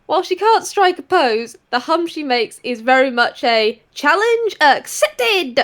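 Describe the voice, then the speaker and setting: A woman improvising present-tense narration as a player in a role-play story, gushing and expressive. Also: high-pitched, measured pace.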